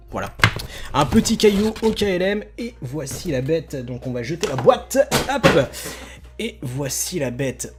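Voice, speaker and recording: A man's voice: mid-range voice, darkish tone, slightly rough. A man hosting an unboxing video, presenting casually and enthusiastically with slangy, joking asides. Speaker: host of a figurine unboxing video.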